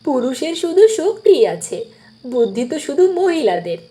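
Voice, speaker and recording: A woman's voice: high-pitched, big pitch swings, normal speed, mellow. A woman voicing a proud, clever village wife in a cartoon dub. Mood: teasing, proud. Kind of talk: cartoon dubbing.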